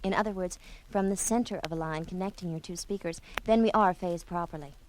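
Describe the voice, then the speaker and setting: A woman's voice: on the low side, brisk, a little hiss or hum, lightly acted, rough recording. A woman voicing a quarrelsome female stereo speaker in a comedy record sketch, nagging and exasperated. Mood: instructive.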